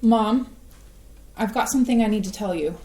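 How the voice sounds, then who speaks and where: An actress playing an earnest young adult daughter, an artist, who speaks calmly and a little hesitantly in a staged play reading. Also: female voice, fairly high pitch, moderate pace, lightly acted. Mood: tender. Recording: echoey stage.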